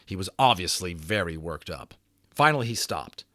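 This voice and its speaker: A man giving a dramatic audiobook reading as storyteller, with expressive, varied delivery. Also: deep voice, normal speed, dry recording, studio quality.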